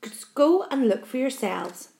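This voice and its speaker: A woman voicing a calm, confident young boy in a storybook, plain-spoken and level-headed. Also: high-pitched, unhurried, creaky, heavily acted.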